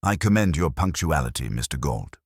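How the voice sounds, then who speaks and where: A man voicing a commanding male detective, formal, measured and deliberate, laying out a case in a dramatised detective audiobook. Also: rumbling low, very animated, husky, dry recording, clean studio sound, exaggerated voice. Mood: measured, confident.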